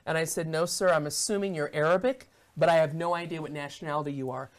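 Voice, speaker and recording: A middle-aged American woman on a studio talk show, telling her testimony as an animated storyteller who acts out the dialogue. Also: sits low, quick pace. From United States. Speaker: guest minister. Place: television studio set.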